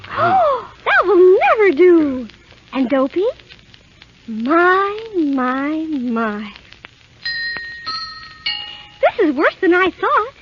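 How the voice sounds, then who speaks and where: An actress playing a sweet young princess in an old radio play, polite, motherly and gently bossy. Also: very high-pitched, wildly swooping pitch, extremely slow, long pauses, exaggerated voice. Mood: motherly scolding. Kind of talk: 1930s radio play acting. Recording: muffled, noisy vintage radio recording.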